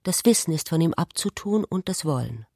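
A woman reading literary narration in a measured, contemplative manner for a radio play. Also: low voice, animated, dark tone, no echo, studio quality.

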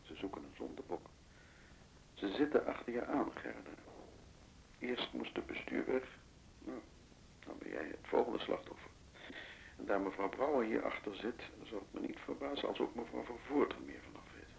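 Measured ex-official, worldly advisor type, gravelly voice, radio play with faint noise. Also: male voice, medium pitch, big pitch swings, rough recording. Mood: grave, warning. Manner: deliberate, advisory. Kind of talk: Dutch radio play acting.

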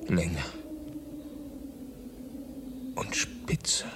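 A man playing an uneasy younger companion of a professor in a radio drama, with a hushed, hesitant delivery. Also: a little slow, in a whisper, close to an everyday voice. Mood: uneasy, startled. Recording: music behind the voice.

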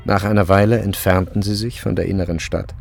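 Deep, gravelly male literary narrator. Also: fairly even intonation, over loud music. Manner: measured, deliberate phrasing. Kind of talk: scripted literary narration in a radio drama.

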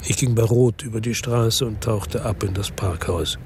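A man's voice: normal speed, a little mellow, slight background noise, lightly acted. An actor playing a weary hard-boiled investigator who narrates his own story in a radio drama, terse and matter-of-fact. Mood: measured, tense. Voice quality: low, gravelly, husky. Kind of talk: scripted first-person radio drama narration. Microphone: close dry studio microphone.

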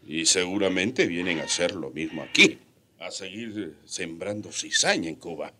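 A man's voice: deep voice, lively intonation, normal speed, sharp tone, heavily acted. An actor playing a brash, scheming Spanish colonial captain in a radio drama, blustering and needling as he talks. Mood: scornful warning.

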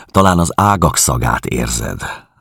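A man's voice: rumbling low, expressive, gravelly, bright, forceful tone, breathy edge, no room sound, studio quality, heavily acted. A man reading the dialogue of a sober, reassuring refugee husband in an audiobook.

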